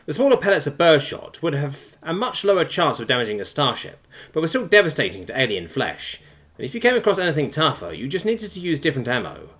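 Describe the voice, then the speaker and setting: A man reading a science fiction story aloud as a scripted, expressive audiobook narration. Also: fairly high pitch, fast talker.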